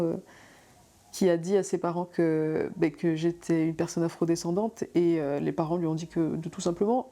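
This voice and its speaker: Mellow, halting young woman. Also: on the low side. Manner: halting and thoughtful, many fillers. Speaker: interviewee. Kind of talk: personal testimony.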